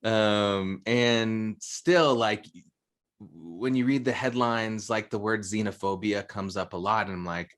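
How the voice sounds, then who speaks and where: A man's voice: lowish voice, slow pace, fairly bright tone. An American man, a guest in an interview, answering reflectively with light laughs between phrases. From United States.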